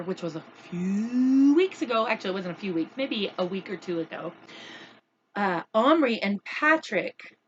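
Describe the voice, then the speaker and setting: Bright-voiced woman reading slowly to children. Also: mid-range voice, no room sound, dull audio. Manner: deliberate, expressive delivery addressed to children. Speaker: read-aloud storyteller for children. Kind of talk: children's chapter book read aloud.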